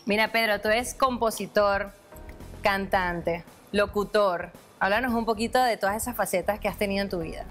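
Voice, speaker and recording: A woman co-hosting a talk show, bubbly and quick to chime in. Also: medium pitch, a bit bright. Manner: bubbly, laughing interjections. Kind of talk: casual talk show chat. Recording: music faintly behind.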